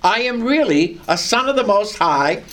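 An older man preaching a sermon with a loud, carrying voice, reading scripture and telling a personal story with animation. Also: sits high, expressive, normal speed.

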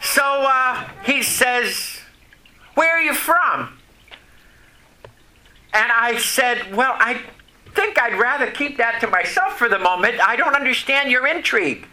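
Animated, high-voiced preacher, bright tone. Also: male voice, low-quality recording. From Vermont, USA. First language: French. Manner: folksy, questions the congregation, pauses between phrases. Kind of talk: sermon.

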